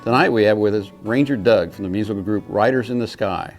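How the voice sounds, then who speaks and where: A man hosting an interview podcast, asking measured, courteous questions. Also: fairly low pitch, soft background music.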